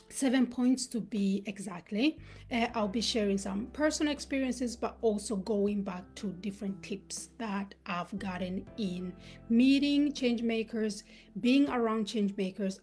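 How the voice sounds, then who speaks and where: A woman from Uganda, raised in Sweden, hosting a livestream talk. She speaks in an earnest, motivational way, pausing with fillers. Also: mid-range voice, darkish tone. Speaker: host and founder.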